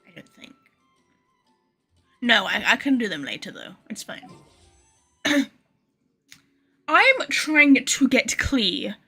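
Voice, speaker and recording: A woman gives casual live gaming commentary. Her voice is high and bright with a rough, husky edge. She rambles excitably to her viewers. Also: takes it slowly, pauses often, faint music behind. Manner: excitable, rambling, swears freely. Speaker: game streamer.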